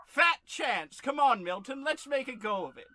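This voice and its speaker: A man's voice: very high voice, animated, normal speed, background noise. A man playing a brash, melodramatic adventurer and crook in an old-time radio comedy. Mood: brash, defiant.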